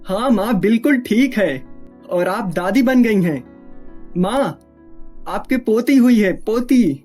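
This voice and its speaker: Woman voicing an earnest young husband, mellow tone. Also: medium pitch, slow, soft background music. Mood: caring. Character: dutiful, firm young husband and son. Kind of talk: dramatized family story.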